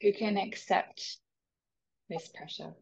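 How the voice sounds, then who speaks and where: A woman leading a guided meditation with soft, soothing instructions. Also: mid-range voice, steady tone, vocal fry, breathy edge, dry recording, highs cut off. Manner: soft, slow, soothing instructions with long pauses.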